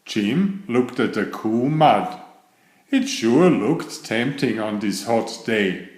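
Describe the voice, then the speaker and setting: A male storyteller reads a children's picture book in a slow, measured way. His pitch moves up and down with lively expression. The room adds a clear echo around his voice. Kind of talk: read-aloud children's picture book narration.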